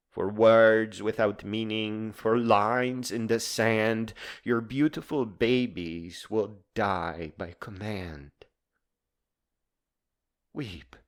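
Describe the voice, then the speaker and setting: A man delivering a dramatized poetic monologue in a solemn, grandiloquent, theatrical manner. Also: lowish voice.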